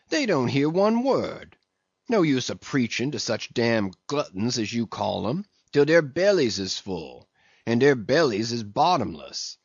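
Man doing a slow, grumpy old cook. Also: mid-range voice, dry recording, lo-fi sound. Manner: muttering, grumbling, slow, in heavy dialect. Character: grumpy, sulky old male ship's cook, about ninety.

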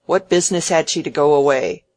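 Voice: mid-range voice, fairly even intonation, normal speed, close to an everyday voice. A narrator voicing a contemptuous, miserly old man in an audiobook, curt and emphatic. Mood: snappish, impatient. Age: elderly. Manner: curt, emphatic, sneering, barking orders. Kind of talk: dramatised audiobook character.

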